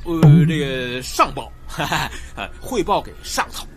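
A man's voice: medium pitch, big pitch swings, takes it slowly, fairly bright tone, soft background music. An actor playing a boastful, wheedling male security guard in a Chinese radio drama, slangy and eager, with a Beijing accent. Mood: self-important.

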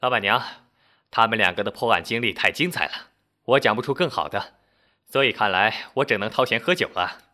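A man's voice: fairly low pitch, brisk, bright, forceful tone. A man voicing a tall, brash young joker who banters breezily in an audio story. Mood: breezy, bantering. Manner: breezy, challenging. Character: tall young man, brash joking actor posing as policeman.

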